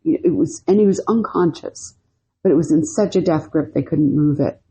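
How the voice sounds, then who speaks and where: A woman's voice: low-pitched, normal speed, dark tone, husky. A woman co-hosting a podcast, telling a story casually, rambling with lots of "you know" and "like". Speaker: podcast co-host.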